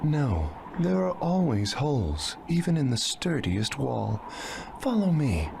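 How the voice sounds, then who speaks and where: An actor voicing a calm, sly plant-like male spy in a game cutscene, with a gravelly voice over noisy game audio. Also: medium pitch, slow pace, full character voice.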